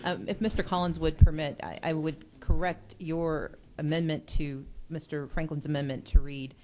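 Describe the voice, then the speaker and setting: A woman's voice: sits low, fairly even intonation, normal speed, dark tone, slight background noise. A woman legislator giving an earnest, persuasive speech in a committee hearing. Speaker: state legislator.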